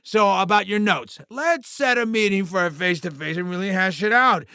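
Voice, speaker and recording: A sleazy alien TV mogul from a video game, pitched high with a heavy gravelly creak. He talks in pompous, smarmy showbiz patter with a bright, forceful edge, wheedling and self-important. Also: normal speed, dry recording, studio quality. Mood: slick, ingratiating. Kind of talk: theatrical video game character acting.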